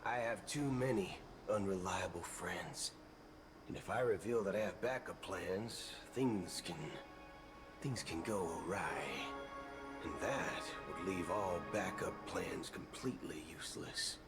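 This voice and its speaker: An actor playing a brash, folksy, roguish cowboy gunslinger with a swaggering, theatrical delivery in a video game. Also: male voice, low voice. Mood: sly, confiding. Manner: swaggering, theatrical, drawn-out emphasis. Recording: game music behind the voice.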